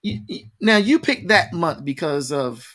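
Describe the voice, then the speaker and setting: An American man hosting a casual interview, asking questions in informal, halting phrases. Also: on the high side, big pitch swings, fairly bright tone. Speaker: interviewer, host.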